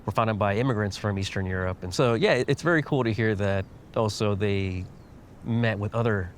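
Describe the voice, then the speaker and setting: A man's voice: lowish voice, normal speed, slight background noise. A man hosting a documentary, moving between casual interviewing and narration. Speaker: host and interviewer.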